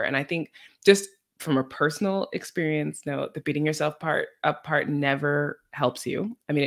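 A woman in her thirties hosting an interview over a video call from a room at home, talking in a chatty way with many fillers as she thinks aloud. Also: low-pitched. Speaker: podcast host. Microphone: video call, computer mic.

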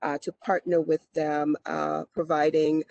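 A county health department representative speaking on a video call panel, measured, with frequent "uh" pauses. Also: mid-range voice, flat delivery, creaky, a little mellow, highs cut off.

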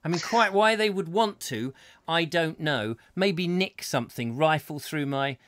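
A man's voice: a little high, expressive, unhurried, fairly bright tone, a little hiss or hum. A middle-aged English man hosting a comic chat show from a small home studio, playing up a stuffy persona and dropping to a mutter for his jokes. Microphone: headphones, desk mic.

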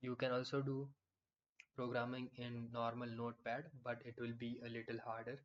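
A man explaining software in a screen-recorded tutorial, with frequent fillers and restarts. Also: medium pitch, dull audio.

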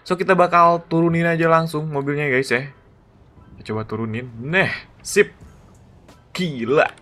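A man giving excited, slangy gameplay commentary as a gaming YouTuber. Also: fairly high pitch, moderate pace. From Indonesia. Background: background music.

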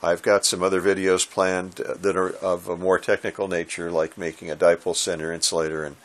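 An American man in his sixties with a gravelly voice, giving informal hobby advice to camera in a halting, reflective, conversational way. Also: low voice, flat delivery, a bit bright. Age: 60s. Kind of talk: informal instructional talk to camera. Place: small indoor home radio room.

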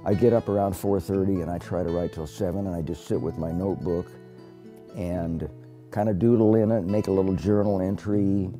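Man in his seventies, deep voice, reflective storytelling, documentary interview, guitar music behind. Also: fairly even intonation, fairly quick. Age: 70s. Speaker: poet. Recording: music playing under the voice.

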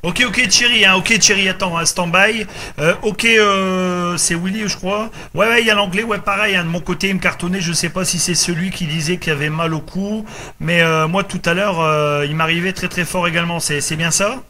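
Male radio ham, high forceful voice, casual, repetitive delivery, faint noise. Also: fairly even intonation, moderate pace. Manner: repeats himself, tag words. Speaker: amateur radio operator running a group contact.

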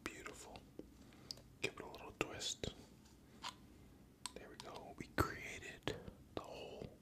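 A young adult whispering crude, rambling chat close to a studio microphone at home, a little tipsy. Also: takes it slowly. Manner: profane, rambling, joking. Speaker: ASMR creator. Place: home room. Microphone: studio USB microphone.

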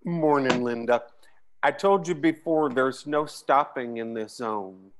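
Calm, insistent crossing guard played by a woman, deep for a woman, plain-spoken. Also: unhurried, noisy background. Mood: calm insistence. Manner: flat, polite, matter-of-fact insistence. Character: unflappable crossing guard, mildly stubborn. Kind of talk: table read acting. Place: at home, video call.

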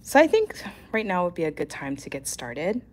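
A woman hosting a weekly nail art livestream, nasal and congested from a cold, with a scratchy voice, chatting casually and clearing her throat now and then. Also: lowish voice, very animated, normal speed.

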